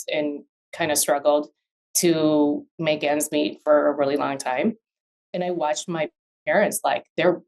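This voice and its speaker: A woman's voice: sits low, darkish tone, low-quality recording. A woman in her forties, born in Vietnam and raised in the US, talking as an attorney guest in a casual interview, thoughtful and full of fillers and restarts. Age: 40s. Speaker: guest, real estate attorney.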